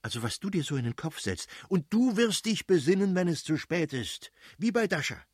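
A man's voice: medium pitch, big pitch swings, fast talker, breathy edge, dry recording, studio quality. A man voicing an irascible aristocratic father in an audiobook, blustering and mocking as he scolds his wife. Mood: scolding. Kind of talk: dramatised audiobook reading.

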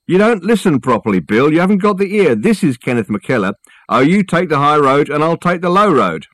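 Casting: wiry, talkative porter, done by a male reader, boastful, raised pitch, storybook comedy. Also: sing-song, brisk, fairly bright tone, dry recording. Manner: chatty, pleased with his own impressions. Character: wiry chatty college porter, man, boastful amateur impressionist.

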